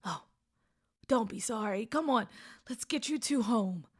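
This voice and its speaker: A woman's voice: a little high, lively intonation, unhurried, breathy edge. A woman voicing a loving, worried father in a story, tender and pleading, drawing out the words. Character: loving, worried, ailing father of two children.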